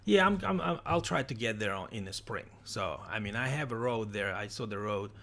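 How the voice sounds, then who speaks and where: Male livestream host from Ukraine, low gravelly voice, halting casual delivery. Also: animated, fairly bright tone. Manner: halting, many restarts. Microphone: computer microphone, livestream.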